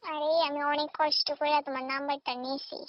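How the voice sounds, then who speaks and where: High-pitched pleading young woman on muffled phone line. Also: little pitch movement, quick pace, very noisy. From Tripura, India. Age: 20s. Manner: pleading, insistent. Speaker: caller. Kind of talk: casual phone chat.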